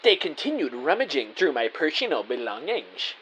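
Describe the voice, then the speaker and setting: An actor playing an excitable, anxious amateur spy who narrates his own story in an audio drama. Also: fairly high pitch, normal speed, noisy background. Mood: sneaky, tense. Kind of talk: scripted first-person story narration. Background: music.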